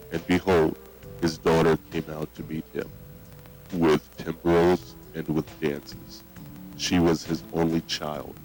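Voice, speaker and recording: A man playing a solemn old grandfather and cult patriarch, deliberately sermonizing to a child in a horror story tape. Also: a bass voice, darkish tone, rough recording.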